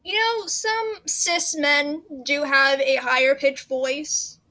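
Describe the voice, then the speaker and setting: High, bright woman explaining casually. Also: fairly even intonation, slow, rough recording. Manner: explanatory, adds fun facts. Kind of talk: casual chat.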